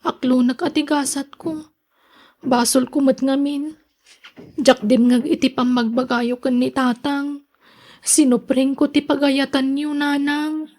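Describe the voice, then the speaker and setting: A woman's voice: high-pitched, little pitch movement, a little slow, a little mellow. A woman with a Filipino accent playing a regretful young single mother in a radio drama, plaintive and hesitant. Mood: worried, remorseful. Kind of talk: Ilocano radio drama acting.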